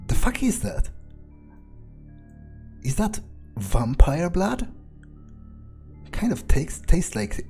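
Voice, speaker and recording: Man, medium pitch, in-character game commentary, informal, sweary, faint music behind. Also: dark tone. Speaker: gamer playing in character. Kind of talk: in-character video game playthrough, thinking aloud.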